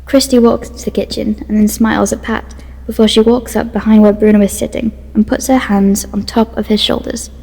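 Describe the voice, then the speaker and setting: Female narrator, creaky and dark-toned, scripted storytelling, reverberant. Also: on the high side, level tone, fairly quick, hardly any pauses.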